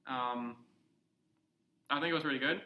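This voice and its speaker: A man's voice: mid-range voice, moderate pace, bright, forceful tone, echoey room. A young man co-hosting a casual podcast, rambling and joking, asking lots of questions. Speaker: podcast co-host. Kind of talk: casual chat.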